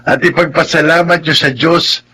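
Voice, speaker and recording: An actor playing a kindly old grandfather who gives gentle advice in a Tagalog radio drama, with a gravelly voice. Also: on the high side, steady tone, normal speed, a little hiss or hum. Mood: kindly, approving.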